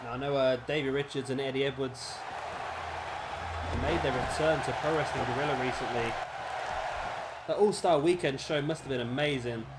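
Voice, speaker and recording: Hoarse man, casual play-by-play, rambling, mid-pitched, loud background noise. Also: normal speed, long pauses. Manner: relaxed, rambling, with fillers and self-corrections. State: slightly hoarse, losing his voice. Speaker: gameplay commentator. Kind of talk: casual play-by-play game commentary.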